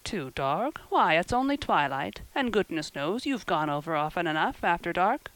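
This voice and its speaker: A woman voicing a stern older guardian in a storybook, with a brisk, blunt, scolding manner and dry sarcasm. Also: lowish voice, big pitch swings, a bit bright, no echo, poor audio. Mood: exasperated, scolding.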